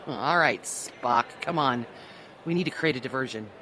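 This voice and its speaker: Audio drama lead, female actor: flippant young earthling, casual, very lively intonation, bright edge. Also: extremely low pitch, unhurried, exaggerated voice. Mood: impish, restless. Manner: casual, wisecracking. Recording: faint background ambience noise.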